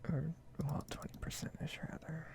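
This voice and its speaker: A woman softly whispering a casual, rambling ASMR commentary over a game. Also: moderate pace. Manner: soft-spoken, rambling, casual asides. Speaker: gaming video creator.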